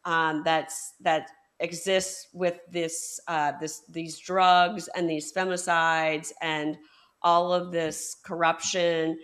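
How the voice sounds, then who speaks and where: A woman hosting a book podcast, building her questions slowly with fillers and hesitations. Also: low-pitched, little pitch movement, a bit bright. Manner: measured, hesitant with fillers while framing questions. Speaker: podcast host. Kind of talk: conversational interview.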